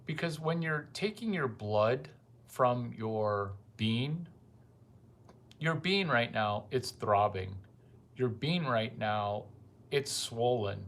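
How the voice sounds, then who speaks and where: Male vlogger, mid-pitched, unhurried, rambling and crude, casual monologue. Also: animated, a bit bright. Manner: rambling and crude, with profane asides.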